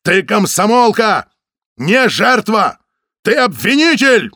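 A man's voice: high voice, sing-song, unhurried, gravelly, pushed and bright, no room sound, studio quality, voice pushed up, heavily acted. A man voicing a hot-tempered male Soviet detective who barks and rages in furious outbursts. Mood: barking orders.

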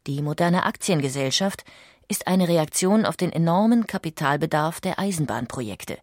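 Low-voiced woman narrating a documentary. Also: expressive, normal speed, no room sound, clean studio sound. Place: dry studio.

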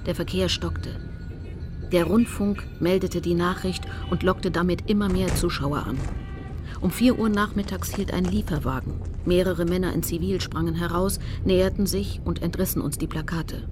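Actress as composed low-voiced activist, music behind. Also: female voice, normal speed, close to an everyday voice. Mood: composed narrating. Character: female activist recounting her campaign, determined, composed. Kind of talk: scripted first-person narration in radio play.

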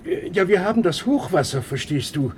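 A man's voice: high voice, lively intonation, brisk. An actor playing a flustered, evasive middle-aged father in a German radio play, stammering and overpolite. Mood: flustered, apologetic. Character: flustered, evasive, fussy father of grown children. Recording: noisy old radio recording.

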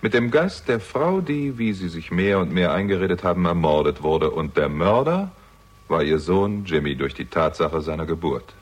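An actor playing a shrewd, sardonic young man investigating in a German radio crime drama, with a husky voice and dry, ironic delivery. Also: sits low, lively intonation, moderate pace. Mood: accusing, controlled. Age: young adult.

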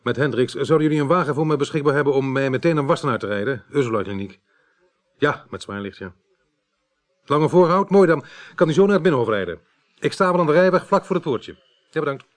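An actor playing a courteous, composed police inspector in a radio drama, speaking in a clipped, businesslike way. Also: male voice, on the high side, expressive, fast, natural voice. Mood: brisk, urgent.

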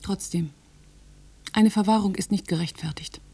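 Female inspector for radio drama, actress: dark, breathy husky voice, measured, quietly defiant, dry. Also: medium pitch, natural voice. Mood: measured, firm.

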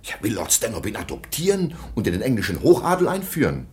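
Radio play, middle-aged aristocrat, low-pitched, stern, sardonic and formal. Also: male voice, wildly swooping pitch, quick pace, darkish tone. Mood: sceptical, ironic. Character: stern, sceptical middle-aged German baron, sharply ironic. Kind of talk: German radio play acting.

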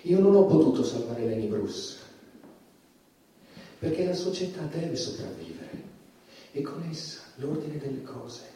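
An actor playing an anguished, self-justifying male judge, defiant and guilt-ridden, in a declamatory theatrical monologue. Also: a little high. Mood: grave. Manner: declamatory, with swings from hushed to shouting. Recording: very echoey stage, distant microphone.

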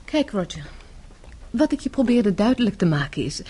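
An actress playing a composed, tough-minded young wife in a radio drama, explaining herself articulately. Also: female voice, mid-range voice, big pitch swings, normal speed, dark tone, slightly rough. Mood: confiding, assured. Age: young adult. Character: self-possessed, tough-minded young wife explaining her undercover scheme.

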